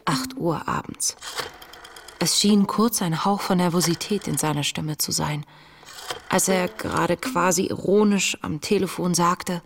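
An actress playing an earnest, searching woman in her late twenties, reading her wartime diary in a breathy, introspective, measured way for a radio play. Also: lowish voice, slight background noise. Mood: reflective. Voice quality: breathy, often dropping toward a whisper. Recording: music under the voice.

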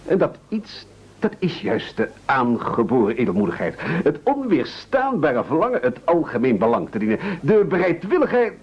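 An actor in a radio play playing a pompous, scheming leader who speechifies in formal, flowery phrases. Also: male voice, on the high side, huge pitch swings, normal speed, husky, full character voice. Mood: flattering, grandiose. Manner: oratorical, grandiloquent, formal. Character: pompous, scheming, silver-tongued male leader of castaways. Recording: dull, lo-fi radio play recording.

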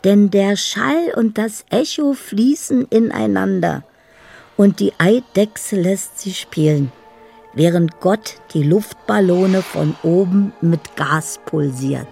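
A mellow, low and creaky voice of a wounded, dreamy older woman. She delivers a wistful, poetic radio drama monologue at a slow, deliberate pace. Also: faint music behind.

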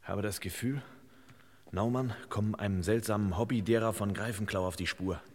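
An actor playing a wry, pompous master detective in an old radio crime comedy, in clipped telegram phrases with mock-gallant courtesy. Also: male voice, on the low side, moderate pace, close to an everyday voice. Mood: dry, pondering. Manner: clipped telegram phrasing, dropping pronouns, mock-solemn sayings. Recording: reverberant old radio play, faint hiss.

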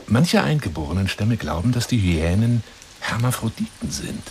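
A man's voice: lowish voice, a little hiss or hum, full character voice. An actor playing an eccentric, obsessive lion hunter who woos a woman by telephone in an effusive, insinuating radio play monologue. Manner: effusive, insinuating, swinging between whispers and exclamations.

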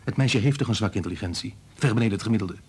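Stern, impatient father, low husky voice. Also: very fast, darkish tone. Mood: tense, arguing. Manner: exasperated, lecturing. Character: impatient, short-tempered scientist father, stern and critical. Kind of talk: Dutch radio play acting.

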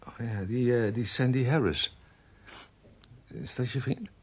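A man with a husky voice acting in a radio drama as a shy, old-fashioned, smitten man, hesitant and self-reproaching. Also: mid-range voice, a little slow. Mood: surprised, probing. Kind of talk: radio drama acting with first-person narration.

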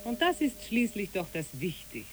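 A woman's voice: mid-range voice, wildly swooping pitch, normal speed, soft background music. A woman playing a genteel, rapturous lady in a literary radio play, refined and effusive.

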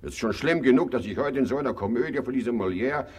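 A man's voice: mid-range voice, quick pace, a little mellow, husky, lightly acted. An actor playing a fussy, self-pitying male hypochondriac in a radio comedy, whining, moaning and flaring into indignation. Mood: indignant. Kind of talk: German radio play comedy acting.

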